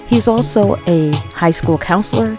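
A woman hosting a podcast interview, speaking in a measured, sing-song, drawn-out way. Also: mid-range voice. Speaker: podcast host. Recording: loud music bed under the voice.

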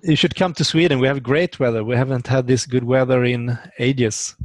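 A man speaks as the host of an interview podcast, in a casual conversational style. His voice sits at a medium pitch and the sound is dry, with little room in it. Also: steady tone, normal speed, no room sound.

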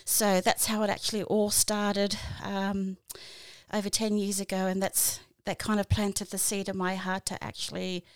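Australian woman, 40s, podcast guest; halting, filler-heavy delivery, dry close sound. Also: medium pitch, completely flat delivery, smooth, no room sound. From New Zealand. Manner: halting, many fillers and restarts. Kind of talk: casual interview answers.

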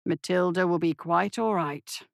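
A woman playing a calm, sinister male surgeon in an audiobook, polite and soothing, with a measured, softly commanding manner. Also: on the low side, vocal fry, no echo, clean studio sound, pitched below the performer's own voice. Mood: composed.

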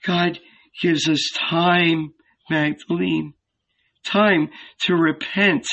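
A man voicing a soft, calm, kind mother. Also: fairly high pitch, lo-fi sound, pitched above the performer's own voice, full character voice. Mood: reassuring. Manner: soft, slow, reassuring.

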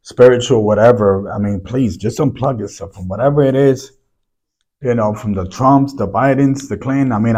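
Hoarse man clearing his throat a lot. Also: on the low side, darkish tone. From New York City. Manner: rambling, frequent throat clearing. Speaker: podcast host. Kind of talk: solo podcast monologue.

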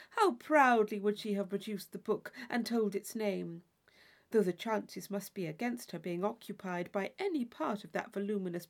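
A woman reading a classic novel as scripted narration, in an expressive, formal storytelling manner. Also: medium pitch. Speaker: audiobook reader.

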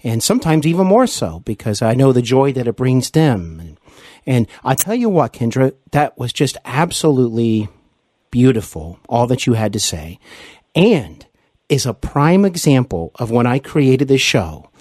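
A man's voice: medium pitch, very animated, normal speed, a little mellow, no echo, clean studio sound. A man hosting a conversational interview, speaking in an effusive, complimentary way. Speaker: podcast host and interviewer.

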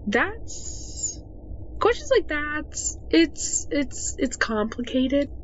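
A woman's voice: sits high, very animated, extremely slow, full character voice. An actress playing a weary, unhappy young woman in an audio drama, hesitant and exasperated. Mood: downcast, defensive. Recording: dull, slightly noisy recording with ambience.

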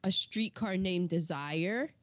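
A woman's voice: on the low side, slow pace, dry recording, dull audio. A woman co-hosting a podcast in casual chat, running through film credits with asides and false starts. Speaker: podcast co-host.

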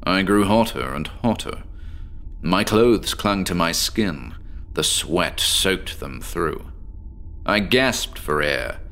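Deep-voiced eccentric professor. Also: male voice, lively intonation, sharp tone, heavily acted. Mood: anxious. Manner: measured, articulate, formal, deliberate. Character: eccentric male insomniac professor, obsessive, confiding, recounting strange experiments. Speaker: narrator of a horror audio drama. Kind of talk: dramatic horror monologue, scripted.

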